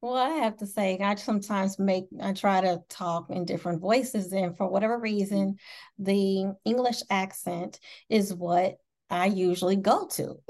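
A woman from Indiana with a Midwestern American accent, hosting a casual interview with friendly, quick questions. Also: medium pitch, a little mellow. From Indiana, USA. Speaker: talk show host.

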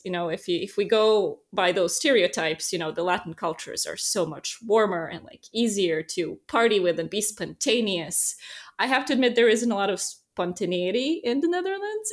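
Woman, Serbian accent, casual podcast co-host, animated anecdotes. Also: mid-range voice. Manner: animated storyteller, laughs often, acts out quotes. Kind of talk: casual conversational chat. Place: lives in a small Dutch town.

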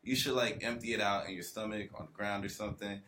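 A man's voice: fairly low pitch, steady tone, quick pace, pushed and bright. A young man from the US South, a podcast guest, telling stories in a laid-back way with lots of fillers. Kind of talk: casual chat.

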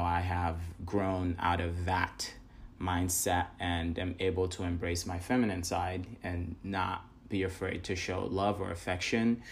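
A man from Ghana giving an earnest, measured personal reflection. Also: low voice, creaky, slight background noise. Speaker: guest.

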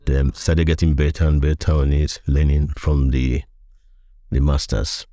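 Casting: older Nigerian male voiceover veteran, extremely deep and dark voice, reminiscing in interview. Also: flat delivery, unhurried, no echo, studio quality. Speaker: podcast guest, veteran voiceover artist.

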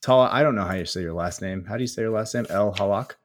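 A man's voice: lowish voice, quick pace. A man hosting a casual chat, loose and jokey, often repeating words as he goes. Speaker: host.

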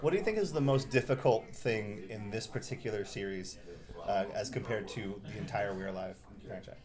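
A man's voice: lowish voice, brisk, crowd noise. A man hosting a conversational interview in an effusive, informal way. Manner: effusive, flattering introductions.

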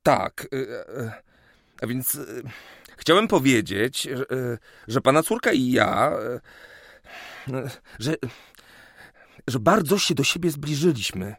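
Man playing an earnest nervous suitor, creaky voice. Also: mid-range voice, animated, slow, pauses often, a bit bright, dry recording, studio quality, full character voice. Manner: hesitant, stammering, polite. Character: earnest, nervous young suitor, a modest geometer. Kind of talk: historical radio drama acting.

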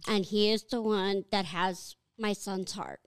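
Slow, clear-voiced middle-aged woman. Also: mid-range voice, fairly even intonation, dry recording. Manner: slow, halting, heartfelt. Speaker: guest, donor mother. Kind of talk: casual interview talk.

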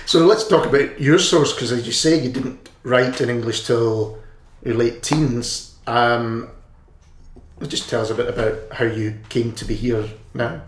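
A man's voice: medium pitch, measured pace. A man interviewing an author, asking long, rambling, enthusiastic questions. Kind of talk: interview conversation. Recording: echoey room, faint noise.

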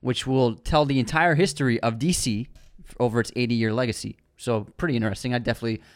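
A man co-hosting a casual movie podcast, talking through the news in an easygoing, agreeable way. His voice sits at a middle pitch for a man, and the sound is close and dry, with no room around it. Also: fairly even intonation, quick pace, no room sound. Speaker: podcast co-host.

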